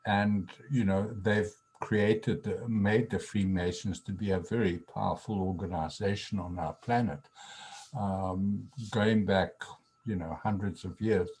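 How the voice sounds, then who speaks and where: Deep husky-voiced male guest. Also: steady tone, darkish tone, rough recording. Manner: halting, many ums and restarts. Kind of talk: casual interview talk.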